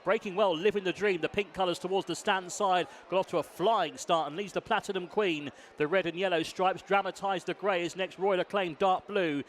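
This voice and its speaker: High-pitched man calling a horse race live. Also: fairly even intonation, a little fast, hardly any pauses, a little hiss or hum. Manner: urgent running call. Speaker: horse racing commentator.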